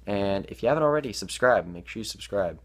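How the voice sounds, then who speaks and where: A man's voice: on the low side, animated, fast. A teenage boy vlogging to his webcam in a small bedroom, hesitant with many ums, sounding stuffed up from allergies. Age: teens. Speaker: gaming YouTuber. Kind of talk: casual vlog to camera.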